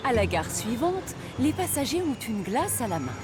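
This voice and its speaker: A lively storyteller for a children's cartoon. The narration is scripted and expressive, with plenty of melodic movement over prominent music. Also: female voice, fairly high pitch, normal speed. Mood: storytelling.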